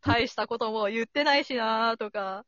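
A woman's voice: fairly high pitch, normal speed, fairly bright tone, dry recording, highs cut off. A woman chatting casually, hesitant and self-deprecating, with dry retorts. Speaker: show regular, comic straight-man role. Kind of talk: casual chat.

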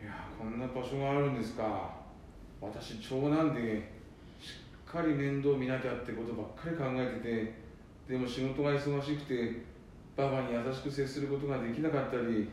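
A man's voice: fairly even intonation, moderate pace, a little mellow, lightly acted. A man playing a weary, dutiful eldest son with a Nanbu accent in an amateur radio drama. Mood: confessional. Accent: Nanbu dialect of northern Japan. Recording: very echoey, distant mic, faint rumble.

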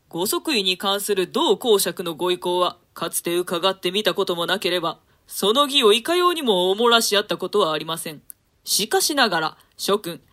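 女性が演じる 人の好い忠実な貴族. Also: female voice, medium pitch, a bit bright, voice pushed down, close to an everyday voice. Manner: earnest, formal and deferential. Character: trusting male lord, naive and loyal. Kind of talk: dramatic play reading.

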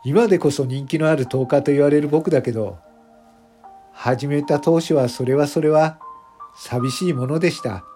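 A man's voice: a little high, normal speed, mellow, lightly acted. A man playing a self-conscious factory worker turned popular voice streamer, narrating a confessional radio drama monologue. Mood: confiding, modestly proud. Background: background music.

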